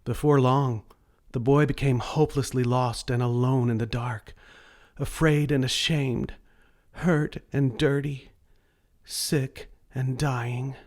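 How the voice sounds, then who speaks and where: Slow-paced man reading a children's story. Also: medium pitch, steady tone. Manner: slow, deliberate read-aloud delivery. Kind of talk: scripted children's story narration.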